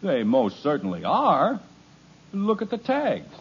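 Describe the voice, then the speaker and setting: A man's voice: a little high, huge pitch swings, slow pace, slightly rough, exaggerated voice. An actor playing a harried suburban father who is sarcastic and exasperated, in 1950s radio comedy. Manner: exasperated, wry. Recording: muffled old radio broadcast.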